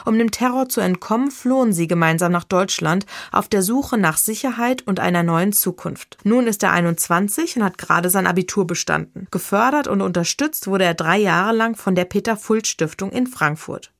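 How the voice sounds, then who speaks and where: A woman reporter reading a scripted radio feature in a measured, even way. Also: mid-range voice, no room sound, clean studio sound.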